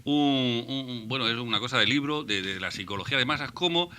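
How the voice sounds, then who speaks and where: A man from Murcia, a panelist on a radio debate show, who talks in a halting way and often restarts his words. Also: medium pitch, pushed and bright, a little hiss or hum. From Murcia, Spain. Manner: halting, repeats and restarts words while reasoning.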